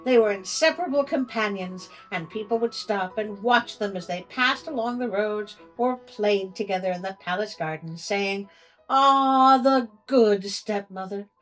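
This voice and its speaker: A woman's voice: mid-range voice, moderate pace, few pauses, soft background music, poor audio. A woman storyteller reading a tale aloud in a theatrical, tongue-in-cheek way, as a show host would. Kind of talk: storybook reading with comic asides.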